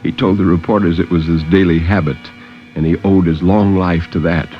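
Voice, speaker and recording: A man narrating a story in a theatrical 1950s radio style with measured pauses. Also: sits low, steady tone, soft background music. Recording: dull vintage 1950s radio recording.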